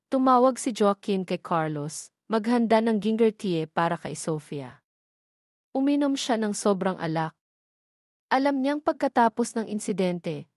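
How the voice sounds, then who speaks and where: A woman narrating a romantic drama at a steady, even storytelling pace. Her voice sits at a middle pitch. It is recorded close and completely dry, with no room sound. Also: no room sound. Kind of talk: scripted audio drama narration.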